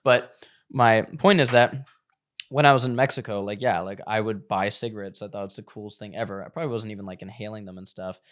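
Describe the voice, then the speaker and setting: A man's voice: on the low side, steady tone, quick pace. A man hosting a podcast, chatting casually and energetically with his guest. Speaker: podcast host.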